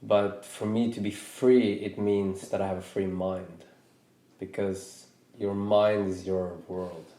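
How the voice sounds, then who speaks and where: A man from Norway in his thirties, hosting a podcast in a reflective, casual way, pausing and restarting his sentences as he thinks aloud. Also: sits low, level tone, dark tone, echoey room. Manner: thoughtful, hesitant with many restarts. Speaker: podcast host.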